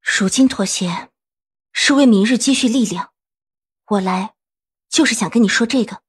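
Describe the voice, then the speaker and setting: Casting: composed young female general, higher-pitched, earnest and persuasive, period audio drama. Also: normal speed, breathy edge. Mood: frank, resolute. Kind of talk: Chinese costume audio drama acting.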